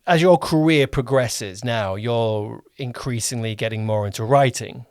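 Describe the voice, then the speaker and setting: A man's voice: mid-range voice, measured pace, a little mellow, clean tone, no echo. Man interviewing casually, dry sound. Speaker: podcast host, producer.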